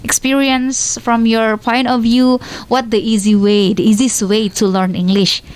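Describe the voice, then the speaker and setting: Slow Indonesian-accented woman radio host. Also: on the high side, flat delivery, a little mellow. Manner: slow, searching, restarts phrases often. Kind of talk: radio talk show hosting.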